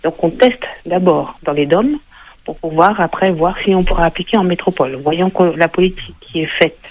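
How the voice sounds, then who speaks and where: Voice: medium pitch, brisk. A caller phoning into a radio show, earnestly explaining a view. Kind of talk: phone-in comment. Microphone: phone. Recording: tinny phone line.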